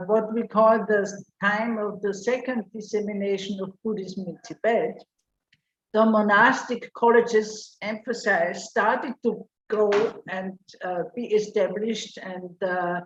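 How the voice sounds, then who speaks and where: A woman from Austria giving an academic paper presentation over a video call, explaining in a measured way with some fillers. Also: medium pitch, steady tone. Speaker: conference speaker. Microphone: computer mic on video call. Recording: roomy lo-fi video call audio with faint noise.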